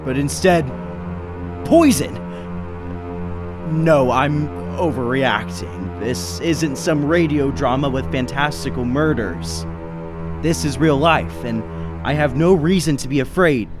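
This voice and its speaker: A man's voice: medium pitch, slow pace, music in the background, close to an everyday voice. A man acting an inquisitive, uneasy traveling businessman who narrates a 1930s-style radio mystery. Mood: uneasy, reflective. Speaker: lead actor and narrator.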